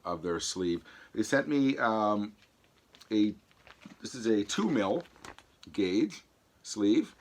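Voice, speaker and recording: A man with a low voice speaks to his viewers in a casual vlog style. His throat is sore from an infection, so the voice fades in and out. He talks haltingly, pausing as he demonstrates and explains. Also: fairly bright tone. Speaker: vlog host. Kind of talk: casual product demonstration to camera.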